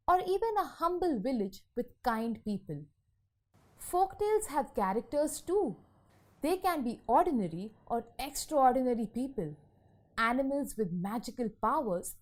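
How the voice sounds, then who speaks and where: A woman's voice: a little high, a little mellow. A woman reading a scripted educational narration for children in an explanatory, storytelling manner. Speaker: lesson narrator.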